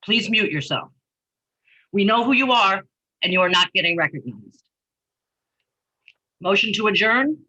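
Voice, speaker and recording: Woman chair, firm and businesslike, bright voice on muffled video-call audio. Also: mid-range voice, animated, unhurried, pauses often. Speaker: commission chair. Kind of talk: running a public meeting.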